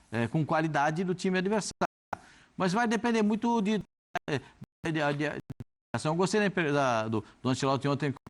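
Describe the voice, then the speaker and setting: A man's voice: a little high, slight background noise. A man from Brazil commenting on a TV football debate show, talking animatedly with frequent restarts. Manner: hesitant, many restarts, animated. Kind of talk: TV sports debate.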